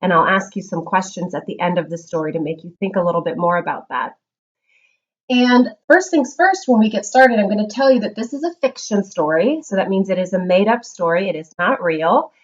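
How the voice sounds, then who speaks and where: A woman teacher giving a read-aloud lesson to young children, explaining simply and reading with expressive emphasis. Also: mid-range voice, fairly quick, highs cut off. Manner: instructional, simple explanations with check-ins. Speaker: primary school reading teacher.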